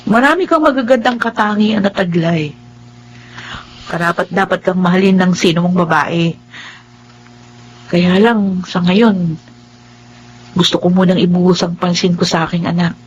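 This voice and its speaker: A woman's voice: fairly low pitch, unhurried, pauses often, slight background noise, close to an everyday voice, poor audio. An actress playing a remorseful, earnest young single mother in a radio drama, with a Filipino accent. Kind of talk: radio drama acting and narration.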